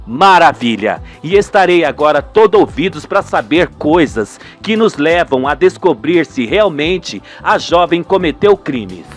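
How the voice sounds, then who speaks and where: A man's voice: a little high, big pitch swings, measured pace, hardly any pauses, faint music behind, pitched below the performer's own voice. A man voicing a formal, probing Brazilian police investigator in a radio soap opera, composed and determined. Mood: courteous, formal. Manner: official, probing.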